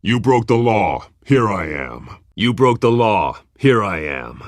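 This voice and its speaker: An actor voicing a grim, commanding masked vigilante with a terse delivery and gravelly voice in a video game. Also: male voice, lowish voice, very animated, slow pace, no room sound. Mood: stern, demanding. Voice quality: gravelly, husky. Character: brooding masked male vigilante, grim and menacing.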